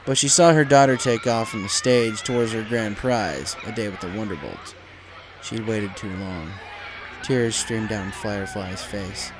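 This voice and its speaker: A man's voice: fairly low pitch, unhurried, background noise. A man reading a story aloud as dramatic scripted narration. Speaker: fan fiction reader. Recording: music under the voice.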